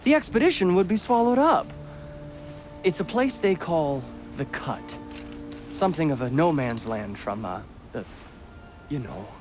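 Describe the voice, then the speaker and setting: A man's voice: unhurried, long pauses, over music. A pampered noble teenage boy. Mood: boastful. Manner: posh, excitable, lively pitch swings. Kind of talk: video game cutscene acting.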